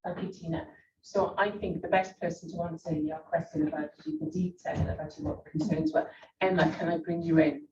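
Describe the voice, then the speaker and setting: A woman's voice: on the low side, animated, brisk. A woman executive answering a board's questions in a formal meeting, leaning on "actually" and "very" as fillers. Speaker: executive presenting a report to the board. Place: meeting room. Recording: distant echoey muffled mic with room noise.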